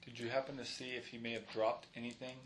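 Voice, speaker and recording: A man's voice: medium pitch, little pitch movement, quick pace. A man asking careful, probing questions in a formal interview. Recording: noisy room.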